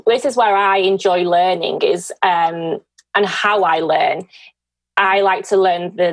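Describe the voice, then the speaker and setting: A woman's voice: lowish voice, no room sound, poor audio. A woman hosting a podcast in a casual, conversational way, effusive and encouraging. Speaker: podcast host.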